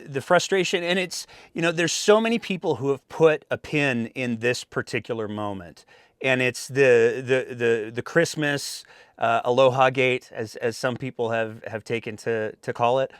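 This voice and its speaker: A man's voice: moderate pace, smooth, no echo, studio quality. A young man hosting a political interview, asking careful, hedging questions. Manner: careful, hedging questions with lively pitch swings. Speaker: podcast host.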